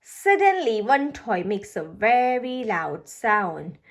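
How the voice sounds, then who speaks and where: A woman reading a children's storybook aloud, narrating expressively and deliberately for young listeners. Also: medium pitch, big pitch swings. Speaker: storybook reader.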